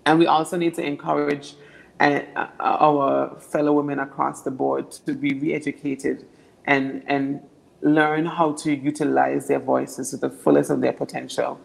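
A young woman from Anguilla with a naturally deep voice, answering interview questions earnestly and often repeating words as she builds her points. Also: little pitch movement, a little slow, vocal fry, low-quality recording. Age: young adult. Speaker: political candidate.

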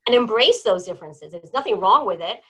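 A woman from New Jersey, a guest in a casual interview, talking in an animated, emphatic way. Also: mid-range voice, fairly quick, husky, poor audio. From New Jersey, born in Iran. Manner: animated, emphatic, lots of "you know".

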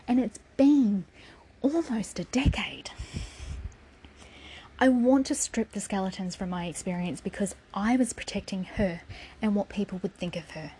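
A woman's voice: medium pitch, a little mellow, a little hiss or hum, rough recording. A woman giving a raw, defiant personal spoken essay, swearing freely and sometimes dropping to a whisper.

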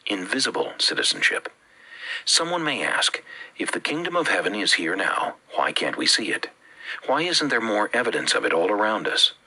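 A man from the Bahamas with a Bahamian accent, reading a religious teaching with measured, emphatic phrasing. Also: on the low side, very animated, sharp tone, breathy edge, poor audio. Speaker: preacher. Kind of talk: read-aloud religious teaching.

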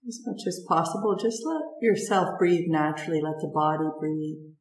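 A woman leading a guided meditation, giving gentle instructions in unhurried phrases with long pauses. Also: low-pitched, reverberant.

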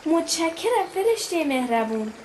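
A woman's voice: extremely high pitch, sing-song, noisy background. A woman playing a brave, clever little girl in a children's radio play, earnest and childlike.

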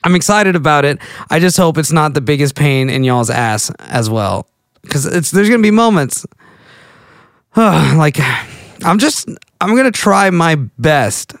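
A man's voice: fairly high pitch, animated, measured pace, no room sound, clean studio sound. A man in his late twenties with a Texas accent, rambling goofily through a casual monologue as a podcast host. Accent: Texan. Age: late 20s. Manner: goofy, rambling, self-interrupting.

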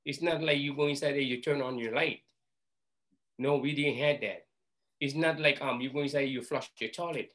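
An older man from Hawaii speaks. He recalls his early life in plain, halting phrases, repeating words as he goes. His voice sits at an ordinary middle pitch. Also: a bit bright. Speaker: interview guest. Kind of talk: storytelling reminiscence.

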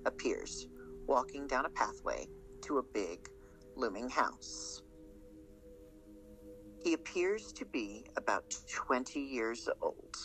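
A man narrates an audio drama in a scripted storytelling style. His voice sits at a medium pitch, and music plays clearly behind him. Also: sharp tone, low-quality recording. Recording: music under the voice.

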